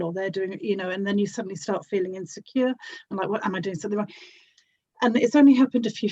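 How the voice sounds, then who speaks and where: A woman's voice: mid-range voice, darkish tone, no room sound. A woman speaking as a podcast guest in casual, thoughtful conversation, on a dry and slightly muffled microphone. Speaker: podcast guest, audio drama actor and producer.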